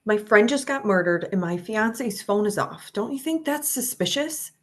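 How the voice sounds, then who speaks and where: A woman's voice: medium pitch, expressive, normal speed, lightly acted. A woman playing the worried, insistent partner of a missing person in a screenplay table read, pressing and urgent. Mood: indignant, worried.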